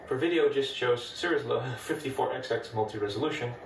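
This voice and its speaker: A man's voice: medium pitch, fast talker, slight background noise. Dutch-accented man in an echoey room. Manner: relaxed, chatty, explains step by step. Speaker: retro computing video host. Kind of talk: casual hardware demo narration. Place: room with old computers. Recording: echoey room, mic at a distance.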